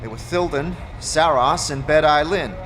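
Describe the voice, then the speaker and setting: A man voicing a brisk, weary woman who supervises a foundry floor in a fantasy audio drama. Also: fairly high pitch, a bit bright, faint music behind, pitched above the performer's own voice, low-quality recording. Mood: weary, businesslike.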